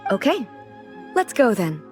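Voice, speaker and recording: A woman voicing a regal female ruler in a video game, formal and measured, earnest and curious, with a naive literal streak. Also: medium pitch, sing-song, over music. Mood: composed, curious.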